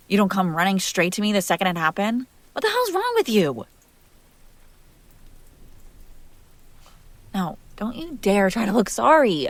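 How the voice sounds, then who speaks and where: A woman's voice: medium pitch, no echo, natural voice. Woman acting jealous, wounded young woman, dry close sound. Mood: incredulous, indignant. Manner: sighing, halting, sarcastic, emotionally raw. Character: jealous, hurt young woman confronting her longtime crush. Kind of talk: intimate audio roleplay acting.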